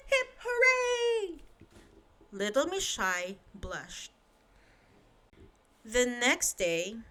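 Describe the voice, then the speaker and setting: A woman reading a children's bedtime story aloud in a lively, expressive way, asking the listener questions. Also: mid-range voice.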